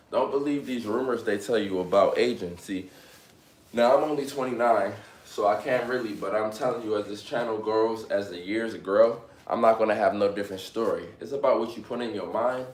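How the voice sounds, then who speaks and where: A young man in his late twenties, chatting on a live stream with a low voice. He keeps coming back to "you know what I mean" and says his encouraging lines more than once. The room around him is noticeably echoey. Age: 20s. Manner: repeats phrases, motivational, fillers like "you know what I'm saying". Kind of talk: casual live stream chat.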